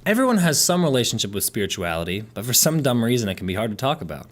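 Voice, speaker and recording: A man's voice: medium pitch, very animated, very fast. A man hosting a podcast in a casual, eager way, curious and apologetic, laughing easily. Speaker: podcast host. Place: basement room.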